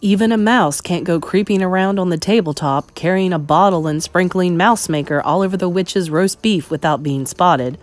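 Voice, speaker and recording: A composed elderly grandmother speaks in a lowered, measured voice, a woman reading her lines. She stays firm and patient in a storybook telling. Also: natural voice. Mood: warm, brisk. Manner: low, measured and unflappable.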